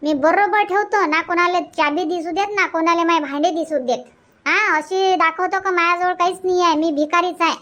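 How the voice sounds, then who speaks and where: A woman's voice: very high-pitched, steady tone, moderate pace, fairly bright tone. A woman voicing a scolding, boastful village housewife in a comic cartoon, nagging emphatically in a Varhadi Marathi accent.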